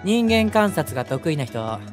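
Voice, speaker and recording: A man's voice: on the high side, big pitch swings, a little slow, faint music behind. A man voicing a cheeky, playful, boyish young gang leader in an audio drama. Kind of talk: Japanese boys' audio drama.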